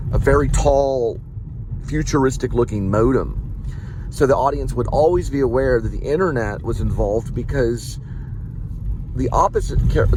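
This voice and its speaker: A man giving a casual, rambling vlog monologue, halting often and drifting off on tangents, recorded inside a car. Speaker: vlogger, amateur playwright, delivery driver. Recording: noisy car interior, vehicle rumble.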